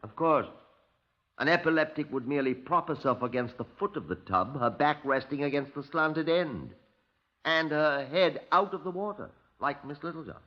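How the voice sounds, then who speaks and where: A man acting a fussy, bossy pathologist in 1950s radio drama. His pitch moves up and down in lively swings as he instructs, with hesitant uh's. The old recording is muffled. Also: normal speed, lightly acted. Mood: bossy, instructive. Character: brisk, fussy male pathologist demonstrating to police.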